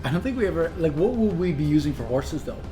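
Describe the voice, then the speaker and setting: A man's voice: fairly high pitch, big pitch swings, fast, warm tone, faint music behind. A man chatting in an amused, offhand way during a casual comedy table read.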